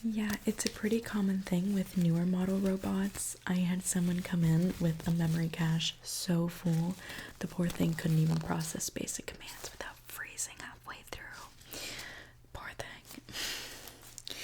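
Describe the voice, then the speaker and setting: A woman whispering a gentle ASMR roleplay as a reassuring repair mechanic, talking softly and directly to the listener. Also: on the low side, slight background noise. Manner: soft, gentle, reassuring, unhurried. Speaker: ASMR performer playing a robot repair mechanic.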